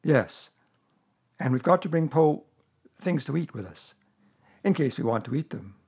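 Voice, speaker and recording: A good-natured bear of little brain, played by a man, simple and earnest. His slightly husky voice has a lively rise and fall, as in a children's storybook. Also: medium pitch, a little slow. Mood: amiable. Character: slow-witted, good-natured, eager male toy bear.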